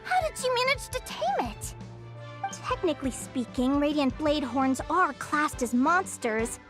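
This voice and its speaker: A woman playing a spirited, expressive young woman in a fantasy video game. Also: high voice, unhurried, full character voice. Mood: surprised, explaining. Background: music.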